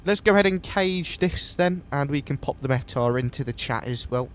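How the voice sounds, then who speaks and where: A man with a sniffly voice from a coming cold, calmly thinking aloud step by step as he streams a flight simulator session. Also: fairly high pitch, normal speed, a little hiss or hum. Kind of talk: casual live walkthrough of a flight simulator.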